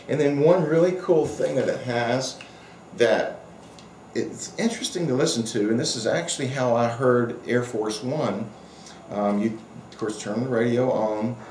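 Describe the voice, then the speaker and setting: A man giving a casual, unscripted product demonstration as a hobbyist reviewer, rambling and halting with afterthoughts. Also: medium pitch, a little mellow. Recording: echoey room with faint background noise.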